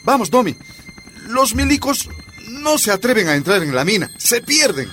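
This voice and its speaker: Minero boliviano protector, voz aguda. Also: male voice, high voice, big pitch swings, normal speed. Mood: worried, protective. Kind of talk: radio drama acting. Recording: music and noise behind.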